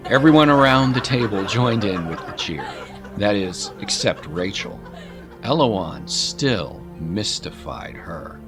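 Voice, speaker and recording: Guy reading a dramatic audiobook, low voice, lots of expression. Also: lively intonation, takes it slowly. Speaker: audiobook narrator. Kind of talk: dramatic scripted story narration. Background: music.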